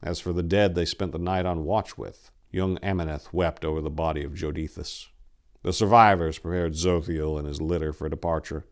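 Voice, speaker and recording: A man giving a solemn, deliberate dramatic reading of a dark fantasy horror story, in a theatrical manner. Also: deep voice, fairly even intonation. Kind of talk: dramatic audiobook narration.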